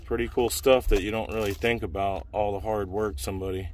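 A man's voice: lowish voice, fairly even intonation, fairly quick. A man giving laid-back, slangy, admiring off-the-cuff commentary as he films a car walkaround. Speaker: car show vlogger. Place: outdoor car auction lot, at times inside a car. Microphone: handheld camera, close behind it. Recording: outdoor car lot ambient noise.

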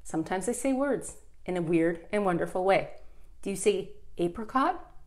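A woman's voice: medium pitch, animated, moderate pace. A woman in her thirties with a Canadian accent, chatting through a live furniture painting tutorial while she works in a room at home. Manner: chatty, talks while working, asks viewers questions.

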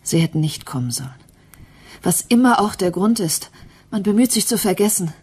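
A woman's voice: medium pitch, animated, normal speed, a little mellow, slightly rough. An actress playing a grieving, guarded mother in a German radio crime drama, subdued and reserved. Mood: guarded, weary. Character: grieving, guarded, bitter mother of a dead child.

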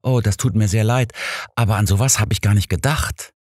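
A man voicing a genteel, easily flustered older gentleman in an audiobook. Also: lowish voice, steady tone, a little fast, no echo, studio quality, pitched above the performer's own voice, close to an everyday voice. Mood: polite, apologetic.

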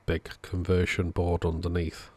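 A man's voice: sits low, completely flat delivery, unhurried, a little mellow, slightly rough, no echo. A man narrating a hands-on electronics repair video in a casual way as its host.

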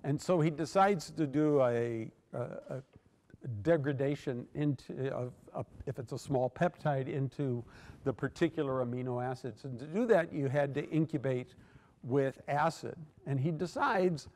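A man's voice: mid-range voice, moderate pace, a little mellow. Older man lecturing in a reverberant hall. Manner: storytelling, frequent "uh" fillers and restarts. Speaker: keynote lecturer. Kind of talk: academic lecture. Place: large lecture hall, at a podium. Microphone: podium microphone.

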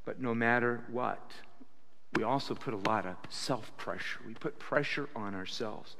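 A man in his sixties preaching a sermon at a pulpit, animated, with bursts of loud acted quotes, speaking into a stand mic in a church sanctuary. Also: medium pitch, a little slow, gravelly, background noise. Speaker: pastor. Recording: reverberant church hall.

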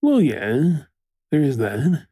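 A worried, tender boyfriend with an Australian accent , acted by a man for an intimate audio role-play. He stammers and fusses affectionately, his husky voice rising and falling with emotion. The recording is dry and close. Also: on the high side, huge pitch swings, takes it slowly, warm tone, no room sound, full character voice. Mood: shaken, loving. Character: frantic, tender, fussing boyfriend of a firefighter.

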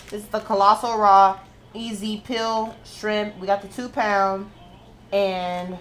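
A woman's voice: medium pitch, fairly bright tone, slight background noise. A young woman, narrating her home cooking step by step and often checking in with "okay?". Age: young adult. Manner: chatty, walks through each step, tags lines with "okay?". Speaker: vlogger. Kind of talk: casual cooking vlog narration. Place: home kitchen, indoors. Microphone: handheld phone camera.

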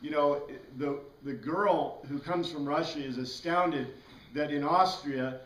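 A man's voice: a little high, measured pace, fairly bright tone. A middle-aged man with an American accent giving an informal classroom lecture, rambling conversationally and putting questions to the class. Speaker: class teacher. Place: small classroom with whiteboard and bookshelves. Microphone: far camera across the room. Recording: echoey room, distant mic, background noise.

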